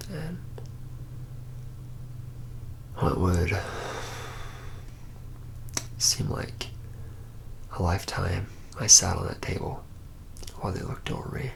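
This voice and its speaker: A man playing a reluctant, haunted young vampire in an ASMR roleplay, telling his story in a hushed, halting murmur that slips into breathy whispers. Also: slight background noise, exaggerated voice. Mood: uneasy, reflective. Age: young adult. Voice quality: breathy whisper mixed with low, gravelly murmur. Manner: halting, hesitant, pausing mid-phrase.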